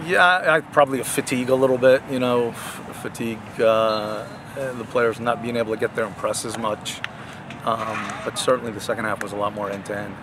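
A man's voice: medium pitch, steady tone, a little fast, background noise. An older man, a head soccer coach, answering post-game interview questions on a field at night, with many fillers and restarts.

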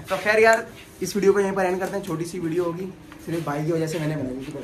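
High-pitched young Pakistani man vlogging. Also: steady tone, fast. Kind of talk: casual vlog talk to camera. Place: busy barber salon, indoors. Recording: busy salon chatter behind voice.

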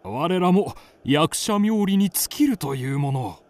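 High, animated kabuki troupe gossip. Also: male voice, unhurried. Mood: awed. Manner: theatrical, archaic speech. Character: gossipy, excitable old-time kabuki troupe actor. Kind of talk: period Japanese radio drama acting.

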